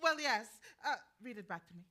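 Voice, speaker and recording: A woman's voice: fairly high pitch, expressive, brisk, pushed and bright. A woman playing a grand, scatterbrained older dancer and artist-activist in a staged play reading, theatrical and imperious. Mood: grand, flighty.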